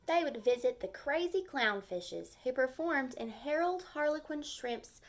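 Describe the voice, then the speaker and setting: A woman teacher speaking to young children in simple, clearly phrased language, as in a storytime lesson with reading aloud and explaining. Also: high-pitched, expressive, a little slow.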